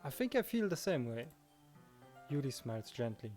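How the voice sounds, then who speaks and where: A man's voice: medium pitch, very animated, normal speed, darkish tone, faint music behind. A man hosting a let's play, reading a visual novel and chatting casually in a conversational way. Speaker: let's play host, narrator and reader.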